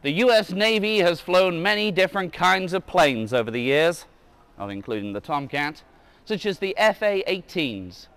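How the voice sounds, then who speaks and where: A man's voice: high-pitched, lively intonation, normal speed, fairly bright tone, smooth. A man reading a children's book aloud as a museum guide, adding casual asides and now and then correcting his slips. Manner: stumbles over words and corrects himself.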